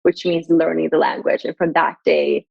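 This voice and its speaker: Young woman from Sweden, low voice, guest; recorded dry with a dull, muffled sound. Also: little pitch movement, vocal fry, darkish tone, husky, dry recording. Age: young adult. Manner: thoughtful, measured, with frequent "um" and "you know". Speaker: guest, brand director. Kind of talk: podcast interview.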